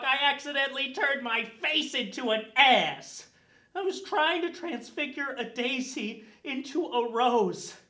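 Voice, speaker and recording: A man's voice: very high voice, sharp tone, roomy sound, full character voice. A man playing a miserable young camp wizard, whining and aggrieved, in a comedy monologue.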